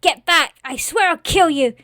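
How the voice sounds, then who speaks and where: A woman playing a terrified, grieving farm boy who shouts in panic and hostility in a horror story. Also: high-pitched, moderate pace, no room sound, clean studio sound, pitched above the performer's own voice. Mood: panicked.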